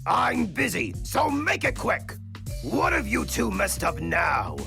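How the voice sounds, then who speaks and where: A man voicing a bossy, scheming mad-scientist villain in a fan dub of a comic, with a gravelly, snappish delivery. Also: sits high, huge pitch swings, takes it slowly, exaggerated voice. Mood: irritated. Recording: music under the dialogue.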